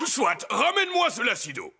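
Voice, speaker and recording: A man playing a blustery, excitable, scheming king in a children's cartoon, theatrical and full of grumbling exclamations. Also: very high voice, moderate pace, a little hiss or hum, heavily acted. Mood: brisk, bossy. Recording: music behind the voice.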